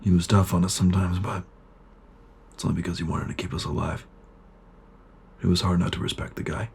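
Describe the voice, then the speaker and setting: Brooding soldier, played by a man, low voice, terse, war-weary sergeant for sci-fi audio drama. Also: little pitch movement, fairly quick, vocal fry, slight background noise. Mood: subdued, reflective. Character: bitter, battle-worn soldier, sergeant of shock troops.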